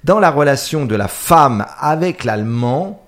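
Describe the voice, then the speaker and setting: A man's voice: mid-range voice, animated, a little slow. A man hosting a radio talk show, chatting with a guest and talking straight to the listeners. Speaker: radio host.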